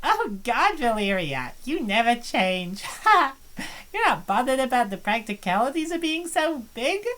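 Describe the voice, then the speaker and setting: A woman's voice: a little high, sing-song, a little slow. A woman voicing an earnest, worried college girl. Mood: concerned. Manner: earnest, gently prying. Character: earnest, worried young female college friend. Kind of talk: fan voice-over of a visual novel.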